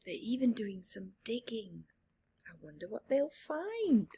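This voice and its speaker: A woman reading a children's picture book aloud, expressive, with soft laughs and whispered asides. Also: on the high side, a little slow, vocal fry, husky. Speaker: storyteller.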